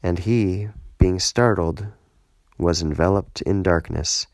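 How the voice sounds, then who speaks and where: Male narrator, slow solemn Bible reading, lowish voice, measured phrasing, dry close sound. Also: no room sound. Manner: measured, deliberate, short phrases with pauses. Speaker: scripture reader, audiobook narrator.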